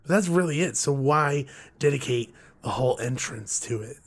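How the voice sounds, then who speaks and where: A man co-hosting a podcast with a hoarse, gruff voice, chatting casually and joking around. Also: medium pitch, normal speed. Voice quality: gruff, rough. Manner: joking, rambling, laughs often. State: hoarse, losing his voice. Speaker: podcast co-host. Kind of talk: casual chat.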